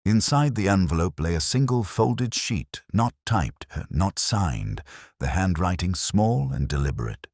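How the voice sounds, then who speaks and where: A man playing a world-weary older detective inspector, narrating a mystery audio drama in a measured, literary, brooding manner. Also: sits low, big pitch swings, darkish tone, no room sound, studio quality, heavily acted.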